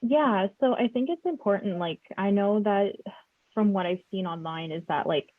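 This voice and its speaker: A young woman hosting a casual podcast chat, talking in a relaxed way with lots of fillers. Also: medium pitch, normal speed, narrow, tinny audio. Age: young adult. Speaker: podcast host.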